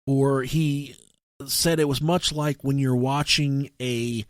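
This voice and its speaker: A man co-hosting a podcast, telling stories casually and comically in a profane, halting way. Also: mid-range voice, fairly even intonation, no echo, studio quality. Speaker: podcast co-host.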